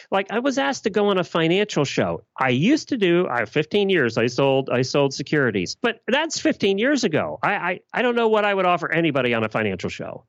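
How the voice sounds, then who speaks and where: A man's voice: on the high side, dry recording, lo-fi sound. A man giving blunt, emphatic answers in a conversational podcast interview. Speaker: podcast guest.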